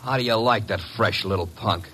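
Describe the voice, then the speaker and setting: An actor with an American accent playing a wisecracking, impatient detective's assistant in an old radio drama, slangy, brisk and sardonic. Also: male voice, fairly low pitch, natural voice. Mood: exasperated. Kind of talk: old-time radio detective acting and narration. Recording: faintly noisy old radio recording.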